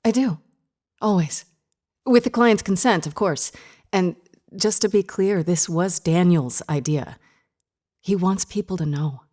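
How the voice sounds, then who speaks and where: A woman's voice: on the low side, dark tone. A woman playing an anxious, earnest grief counselor in an audio drama, speaking in a hesitant, insistent way. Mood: insistent, nervous. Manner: hesitant, halting, earnest pleading.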